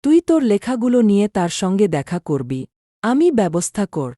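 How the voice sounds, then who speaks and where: A woman's voice: medium pitch, sing-song, normal speed, mellow, no room sound, clean studio sound. A woman voicing a kindly village shopkeeper uncle, encouraging and familiar in a story.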